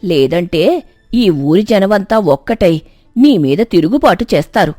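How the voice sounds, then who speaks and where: A woman voicing an old village woman who gives a slow, menacing warning in a dramatized story. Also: lively intonation, mellow, no room sound, studio quality, soft background music. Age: older. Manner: low, slow, cautioning.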